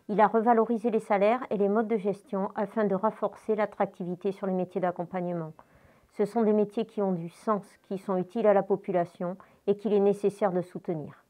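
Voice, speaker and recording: A woman's voice: mid-range voice, warm tone. A woman reading a scripted institutional address as a local social service official, composed and formal. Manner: composed, formal read-out delivery. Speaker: local social service official giving New Year's wishes.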